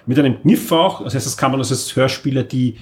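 A man's voice: medium pitch, a little fast. A man co-hosting a podcast in casual chat, wordy and enthusiastic, piling up emphasis in long monologues. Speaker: podcast co-host.

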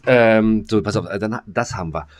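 Low-voiced man in rambling banter. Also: fairly quick. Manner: rambling, slangy, jokey. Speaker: podcast co-host. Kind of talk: casual bantering chat.

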